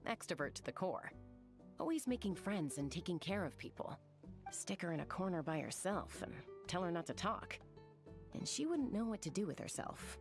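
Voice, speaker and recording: A woman's voice: on the low side, very animated, normal speed. A woman voicing a cool, laid-back young warrior woman in a video game, laconic and wry. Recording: music behind.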